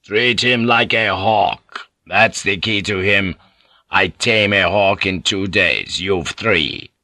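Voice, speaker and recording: A man voicing a slow, stern warlord. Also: low-pitched, sharp tone, dry recording, clean studio sound, full character voice. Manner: slow, measured, authoritative. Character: commanding older Japanese warlord, calm and stern. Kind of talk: audiobook character voice.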